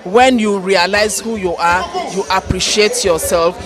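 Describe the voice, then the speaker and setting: Slow-speaking woman over music and crowd. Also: mid-range voice. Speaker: audience interviewee. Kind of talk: unscripted reaction interview.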